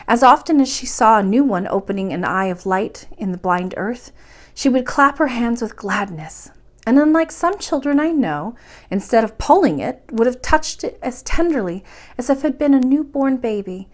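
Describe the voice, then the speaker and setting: Lady reading a bedtime story out loud, kinda high voice, expressive. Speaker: storytime reader and host.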